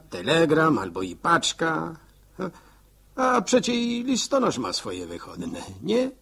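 A man's voice: mid-range voice, husky, exaggerated voice. An actor playing a self-important village postman talking to himself in a 1960s Polish radio drama.

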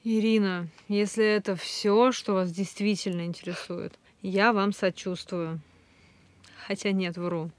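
An actress playing a blunt, sardonic, world-weary woman in an audio drama phone dialogue, with a dry deadpan delivery. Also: medium pitch, unhurried, dry recording, lightly acted.

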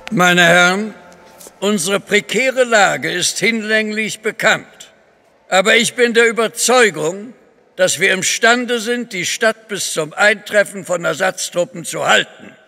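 An actor playing a formal, authoritative Russian grand duke in a German audio drama. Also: male voice, high voice, measured pace, vocal fry, bright, forceful tone, faint music behind, exaggerated voice. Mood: resolute, formal. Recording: long reverb of a large hall.

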